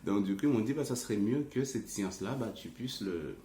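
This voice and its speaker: A man with a very low voice talks to his followers like an informal religious teacher. He is not a native French speaker and speaks haltingly, searching for the next word. The room echoes around him, with faint noise behind. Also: expressive, warm tone. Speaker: religious teacher and ruqyah healer. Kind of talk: informal explanatory talk to online followers. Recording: roomy echo, faint background noise.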